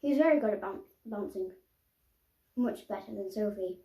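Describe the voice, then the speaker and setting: A woman reading a children's picture book aloud expressively, pausing to explain the pictures. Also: mid-range voice, warm tone. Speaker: storybook reader. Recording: roomy, echoing sound.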